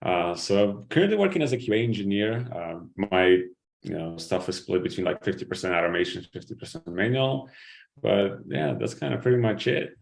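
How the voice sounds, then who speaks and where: A man from Ukraine speaks on a video call. His voice is very low. He explains his answers in a relaxed, casual way. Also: brisk, creaky, slight background noise, rough recording. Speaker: guest, QA engineer.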